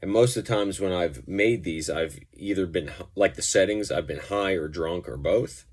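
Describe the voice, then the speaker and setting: A man's voice: low-pitched, moderate pace, breathy edge. A man giving a casual, informal gear demonstration, explaining things in a loose, rambling way as a musician and reviewer.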